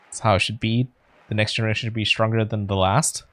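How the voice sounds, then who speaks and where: A man's voice: on the low side, little pitch movement, quick pace, a bit bright, no room sound. A man doing casual let's play commentary, thinking aloud in a hesitant, musing way. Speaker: game streamer.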